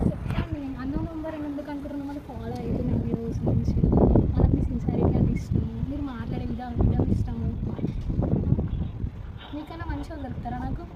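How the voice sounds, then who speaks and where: A woman's voice: high voice, fast, highs cut off, natural voice. A young woman playing a lovestruck girl on a prank call, pleading and coaxing insistently as she confesses her love. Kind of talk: prank phone call, acted romantic pleading. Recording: very noisy amateur recording.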